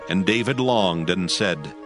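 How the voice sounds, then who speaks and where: A man narrating a dramatized Bible reading in a measured, formal storytelling manner. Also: low-pitched, expressive, over music.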